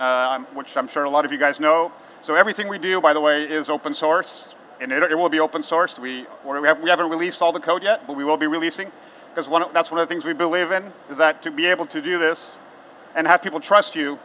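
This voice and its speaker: Bright-voiced Portuguese man, American accent. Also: on the high side, a little hiss or hum, low-quality recording. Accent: American English. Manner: conversational, many filler words and restarts. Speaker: conference speaker. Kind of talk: conference talk. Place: large outdoor conference stage.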